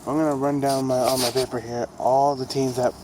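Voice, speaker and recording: Young male vlogger with a stuffy nasal voice, monotone rambling, recorded on a phone outside with heavy noise. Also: mid-range voice, brisk. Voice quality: nasal, congested. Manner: rambling, with restarts and fillers. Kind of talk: casual rambling baseball vlog. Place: outdoors near buildings. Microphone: phone camera held low.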